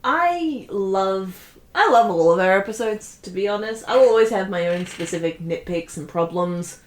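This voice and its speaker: A woman of average pitch chats casually as a commentary co-host. Her voice carries clear room echo. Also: lively intonation, normal speed. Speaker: co-creator and voice actor giving commentary. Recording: echoey room.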